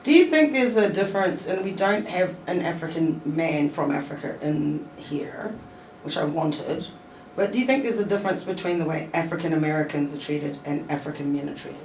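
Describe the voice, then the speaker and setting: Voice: medium pitch, lively intonation, moderate pace, distant mic, slight background noise. A radio host leading a panel, asking probing questions and giving short affirmations. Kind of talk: moderating radio panel discussion. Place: radio studio. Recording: roomy studio sound from off-mic.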